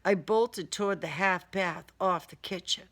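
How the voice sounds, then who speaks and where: A woman's voice: on the low side, a little slow, fairly bright tone. A woman reading a first-person crime thriller audiobook in a dramatic, wry manner. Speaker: author reading own novel excerpt.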